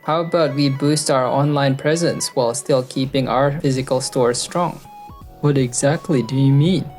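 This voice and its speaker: Woman voicing a low-pitched, earnest young employee. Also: moderate pace, a little mellow. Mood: eager pitching. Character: earnest, loyal young employee narrating their office story. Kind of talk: anime-style manga dub with first-person narration. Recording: background music under the voice. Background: music.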